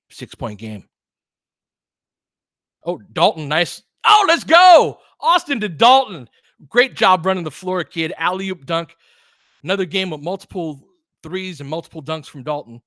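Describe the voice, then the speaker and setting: A man calling basketball play-by-play as a fan commentator, clipped and quick, breaking into excited bursts and short laughs. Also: fairly high pitch, dry recording, clean studio sound.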